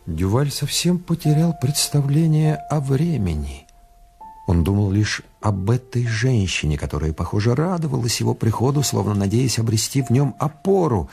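An actor playing the narrator of a radio detective drama, with a measured, literary delivery. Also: male voice, mid-range voice, lively intonation, vocal fry, warm tone, faint music behind.

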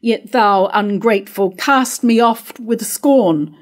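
A woman's voice: fairly high pitch, slow. A middle-aged woman playing a proud, bitter discarded royal wife, declaiming verse in a staged reading. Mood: bitter, indignant. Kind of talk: declaimed early modern verse monologue. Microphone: stand mic at lectern.

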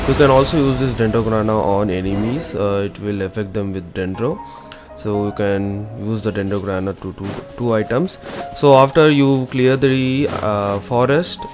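A man narrating a game walkthrough as an informal, instructional gaming channel host. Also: on the low side. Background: game music.

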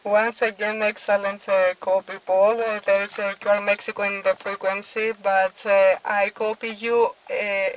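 A woman in her thirties with a Greek accent, talking as an amateur radio operator, halting as she searches for words. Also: mid-range voice, level tone, a little hiss or hum, poor audio. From Greece. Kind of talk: ham radio contact, conversational. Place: inside a car at night.

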